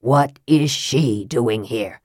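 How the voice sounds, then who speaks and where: A cold, sickly young mage with a rasping, lowered voice, every word cutting and contemptuous, as a woman narrator puts him on. The reading is close and dry, with no room around it. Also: takes it slowly, no room sound, heavily acted. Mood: accusing. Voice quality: rasping, low. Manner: scornful, sharp. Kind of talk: audiobook character voice.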